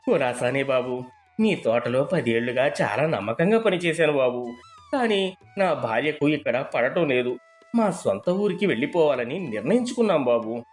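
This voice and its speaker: A man's voice: mid-range voice, lively intonation, moderate pace, faint music behind, natural voice. A man playing a humble, loyal poor garden worker in a moral story, speaking plainly and with deference. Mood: humble, pleading. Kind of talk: dramatised moral story dialogue.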